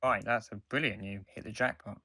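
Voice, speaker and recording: A man's voice: lowish voice, fairly even intonation, brisk, pushed and bright, no echo. A man co-hosting a podcast in casual conversation, agreeable and a little hesitant. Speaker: podcast co-host.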